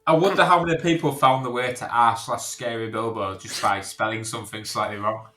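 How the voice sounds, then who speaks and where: A man's voice: mid-range voice, fairly even intonation, a little fast, sharp tone. A man as a podcast guest, chatting casually and teasing the others. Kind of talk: casual comedy podcast chat.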